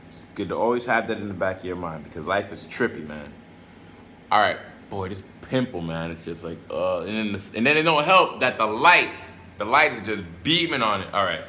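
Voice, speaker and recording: Bright, forceful American man vlogging. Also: lowish voice, lively intonation, brisk, a little hiss or hum. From Brooklyn and Florida, United States. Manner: streetwise slang, emphatic, repeats phrases for emphasis. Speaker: self-help video creator. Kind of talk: casual motivational vlog monologue to camera.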